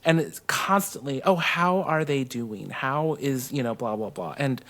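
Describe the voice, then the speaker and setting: A middle-aged man with an American accent, telling personal stories conversationally in an animated manner. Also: medium pitch, unhurried. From South Texas, USA. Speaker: guest co-host.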